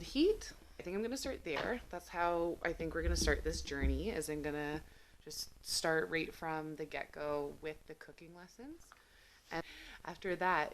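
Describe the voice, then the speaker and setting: A woman's voice: on the low side, a little hiss or hum. A young woman vlogging about learning to cook, chatting casually to camera with many fillers while getting over a cold. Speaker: cooking vlogger.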